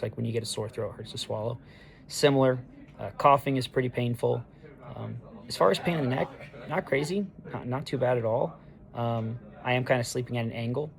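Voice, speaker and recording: Hoarse, gravelly young man, flat delivery. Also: medium pitch, slight background noise. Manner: halting, with many ums and restarts. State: hoarse after neck surgery. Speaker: patient sharing a recovery diary. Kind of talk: casual video diary. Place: hospital room on discharge day.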